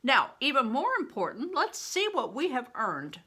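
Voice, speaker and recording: An older woman plays a big, worldly travelling showman from a storybook. The delivery is bright and forceful, swinging up and down in pitch as he hands out hearty, fatherly advice. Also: wildly swooping pitch, moderate pace, breathy edge, full character voice. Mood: earnest, reassuring.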